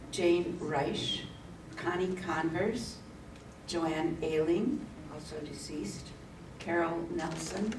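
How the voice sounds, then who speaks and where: Slow, halting woman reading names. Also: low voice, far from the mic. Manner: slow and halting, stops to add remarks. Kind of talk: reading a list of names at a meeting. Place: community meeting room. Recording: echoey room audio recorded from afar, with noise.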